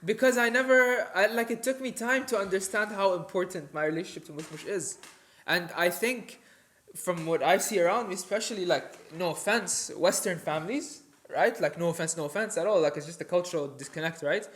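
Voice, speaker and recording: Higher-pitched young man, earnest. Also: fairly quick, a bit bright, a little hiss or hum. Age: young adult. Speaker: podcast co-host. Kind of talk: casual sibling podcast chat.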